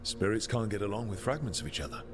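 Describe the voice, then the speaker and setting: Man playing a low-voiced, earnest game hero. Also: lively intonation, fast, music in the background, natural voice. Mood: calm, earnest. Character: calm, earnest heroic adventurer, polite leader. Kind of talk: video game dialogue acting.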